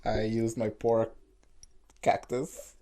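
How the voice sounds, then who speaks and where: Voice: mid-range voice, level tone, takes it slowly, dark tone, slight background noise. A podcast host leading a casual chat and interviewing a guest in a giggly, teasing way.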